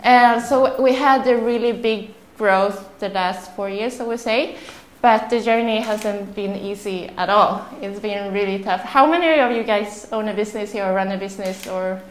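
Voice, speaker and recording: A woman's voice: medium pitch, normal speed, few pauses, roomy sound. A young woman with a Swedish accent, giving a nervous, chatty talk to a live audience and laughing often. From Sweden. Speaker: speaker. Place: room with audience.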